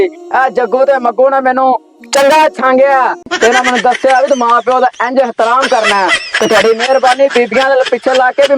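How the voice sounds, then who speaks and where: A man with a Pakistani Punjabi accent addressing viewers directly as a channel presenter, making a moral appeal. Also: extremely high pitch.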